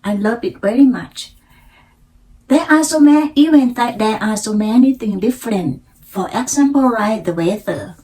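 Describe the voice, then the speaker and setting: An older woman from Thailand with a Thai accent, a native Thai speaker, telling her personal story in halting, deliberate learner English. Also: a little high, warm tone, rough recording. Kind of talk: unscripted personal storytelling practice to camera.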